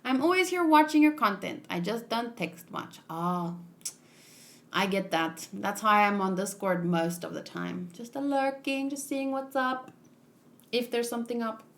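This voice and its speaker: A woman's voice: fairly high pitch, a little slow, creaky. A woman streaming a casual manga read-along, musing aloud and chatting with viewers as she goes.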